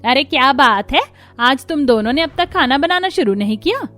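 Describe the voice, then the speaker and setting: A woman's voice: high-pitched, expressive, normal speed, bright, forceful tone, pitched above the performer's own voice. A woman voicing a cheerful, bossy middle-aged mother-in-law in a cartoon story, exclaiming and insistent. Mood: puzzled.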